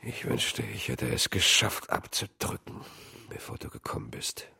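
Husky, broken, lonely man. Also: lowish voice, big pitch swings, bright, forceful tone, full character voice. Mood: ashamed, confessing. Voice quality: very breathy, husky, creaky. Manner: halting, confessional. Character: Thomas, lonely, broken man confessing an invented family. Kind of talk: naturalistic German radio drama acting.

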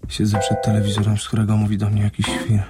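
Guy acting nervous drunk young man in radio drama, flat lowish voice, stammers a bit, defiant. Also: fast, natural voice. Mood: uneasy, sardonic. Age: young adult. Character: anxious, drunk younger man named Antoni, rattled and defiant. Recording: music loud behind studio radio acting.

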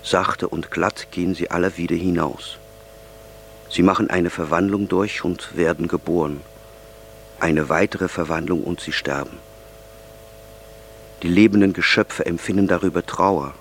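An actor playing an earnest, solemn young man speaking deliberately in an audio drama. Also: sits low, steady tone, slight background noise.

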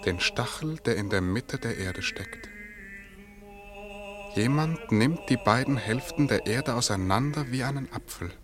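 An awestruck seer speaks slowly in a lowish voice, measured and full of wonder. Behind him in this poetic radio drama is a clear crowd-like, choral sound. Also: male voice, sing-song. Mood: entranced.

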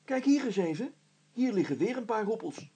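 A man putting on an eager, high-voiced male helper. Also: expressive, moderate pace, mellow, breathy edge.

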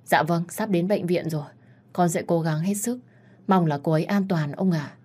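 A woman's voice: fairly low pitch, moderate pace, a little mellow, breathy edge. Woman reading as an urgent young boyfriend. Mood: anxious resolve. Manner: urgent, pleading. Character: anxious protective young man, son and devoted boyfriend.

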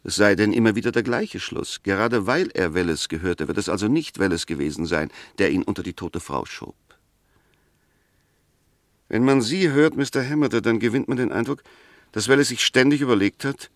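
An actor playing a shrewd, composed defence lawyer in a 1960s German radio play, arguing in a measured, precise manner. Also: male voice, fairly low pitch, lively intonation, no room sound. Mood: insistent, ironic.

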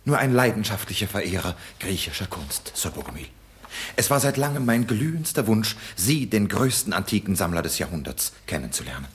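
Casting: a sly, mock-courteous schemer, low husky voice, lively pitch. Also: male voice, fairly quick, heavily acted. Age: young adult. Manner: cocky, mock-polite. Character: cheeky scheming young man, detective's son.

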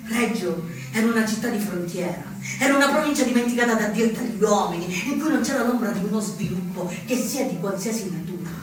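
An actor playing a wry male anarchist recounting a revolt in a measured, dramatic theatre monologue with deliberate pauses. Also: very high voice, pushed and bright, heavily acted. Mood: impassioned, bitter. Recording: very echoey stage, distant mic, noisy.

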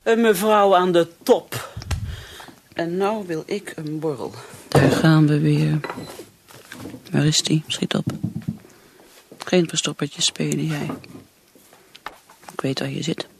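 An actress playing a bitter, driven middle-aged career woman who drinks, in a radio play, with a breathy, halting, brooding delivery. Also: low voice, steady tone, noisy background, full character voice. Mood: frustrated, craving drink.

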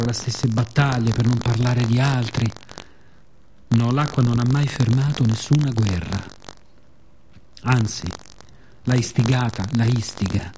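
Male radio-theatre monologist, medium pitch, expressive scripted delivery, some background noise. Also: rough recording. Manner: expressive, with playful spoken sound effects.

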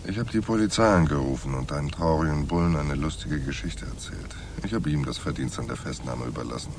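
An actor playing a wry, world-weary private detective in a noir radio drama, speaking in a dry, sardonic, laconic way. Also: male voice, a bass voice, a little fast, background noise.

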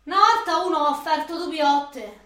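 A woman's voice: high-pitched, normal speed, fairly bright tone. An actress playing a young, jealous, desperate Roman girlfriend craving drugs in a gritty radio drama, slangy and foul-mouthed. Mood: jealous, accusing. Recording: roomy, echoing sound.

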